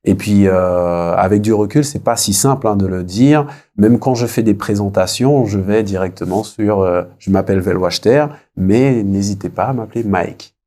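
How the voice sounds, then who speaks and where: A man in his thirties speaks in a low, very mellow voice. He is an interview guest from French Guiana. He talks reflectively and conversationally, often pausing to find his words. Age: 30s.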